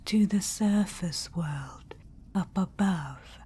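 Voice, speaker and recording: A woman voicing a regal undead witch-queen in an ASMR roleplay, speaking in a soft whisper and calling the listener darling. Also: lowish voice, takes it slowly, breathy edge, heavily acted. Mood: diplomatic. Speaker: ASMR performer.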